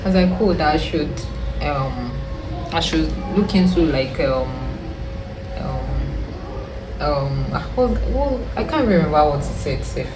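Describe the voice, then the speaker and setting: Low husky Nigerian woman vlogging. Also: animated, unhurried, noisy background. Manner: rambling, chatty, mixes in Pidgin slang. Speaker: beauty vlogger. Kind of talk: casual get-ready-with-me chat. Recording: music playing underneath. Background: music.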